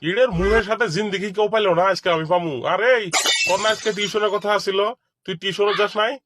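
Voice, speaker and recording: A man's voice: high-pitched, steady tone, fast talker, over loud music, close to an everyday voice. A man playing a strict, threatening father and tutor in a comedy cartoon, scolding. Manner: scolding, hectoring.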